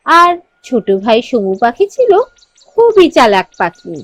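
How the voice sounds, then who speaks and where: A woman's voice: high voice, big pitch swings, unhurried, a bit bright. A woman narrating a children's fairy tale cartoon in a scripted storytelling style.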